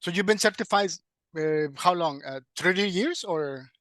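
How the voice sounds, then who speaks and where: Higher-voiced male podcast host, halting delivery. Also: a bit bright, no room sound, studio quality. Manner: halting, hesitant delivery with repeated words. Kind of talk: hosting an online interview.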